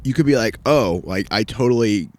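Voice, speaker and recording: A man's voice: fairly low pitch, lively intonation, normal speed, a little mellow. A young man co-hosting a film podcast, casual and slangy in conversation. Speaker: podcast co-host. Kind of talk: casual film review chat.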